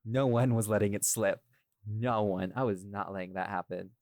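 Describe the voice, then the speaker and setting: A man's voice: on the low side, steady tone, moderate pace, darkish tone, dry recording, studio quality. A man co-hosting a casual, chatty podcast discussion, speaking emphatically and repeating phrases for stress. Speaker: audio series creator and podcast co-host.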